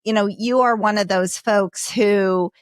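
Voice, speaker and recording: A middle-aged woman co-hosting a podcast, setting up a question for a guest in a halting, searching way. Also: mid-range voice, steady tone, clear, resonant tone, dry recording. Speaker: podcast co-host. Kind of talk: conversational interview.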